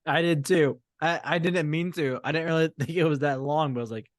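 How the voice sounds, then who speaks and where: A man's voice: on the high side, a little fast, dry recording, studio quality. A man co-hosting a podcast in casual, joking chat, with a wry manner and some casual swearing. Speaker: podcast co-host.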